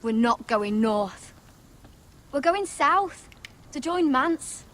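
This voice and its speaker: A woman playing a young, blunt and suspicious wildling in a fantasy video game, sharp-tongued, commanding and protective. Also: high-pitched, animated, takes it slowly, full character voice. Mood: scornful, challenging. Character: blunt, suspicious, protective free-folk sister. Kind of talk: dramatic video game acting.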